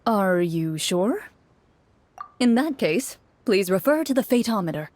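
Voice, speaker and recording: An actress playing a composed game master who narrates a tabletop adventure in a scripted style. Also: female voice, medium pitch, very animated, unhurried, a little mellow.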